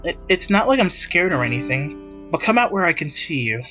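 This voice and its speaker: A man's voice: a little high, very animated, a little fast, bright, forceful tone, muffled recording, faint music behind, voice pushed up. A man voicing a flustered, defensive teenage tsundere girl in a visual novel reading, indignant and emotional. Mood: startled, indignant.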